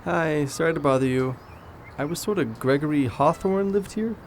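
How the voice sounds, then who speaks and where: A man playing a steady, protective young friend, easygoing and reassuring, in naturalistic audio drama style. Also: fairly high pitch, normal speed, slight background noise, natural voice. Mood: polite.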